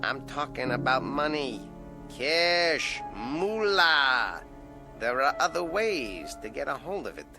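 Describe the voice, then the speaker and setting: A man's voice: medium pitch, very animated, slow, vocal fry, full character voice. A man voicing a bullying male ventriloquist's dummy that scorns its owner, in classic TV drama acting. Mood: sneering. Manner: scornful, domineering, insulting. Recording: old TV soundtrack with music underneath.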